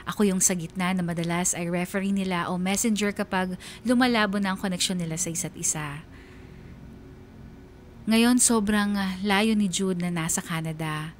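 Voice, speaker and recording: An actress playing a wistful young woman who narrates a confession in a measured, confiding radio drama style. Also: fairly low pitch, slight background noise, natural voice. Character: wistful young woman confessing secret love for best friend's girlfriend. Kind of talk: first-person radio drama letter narration.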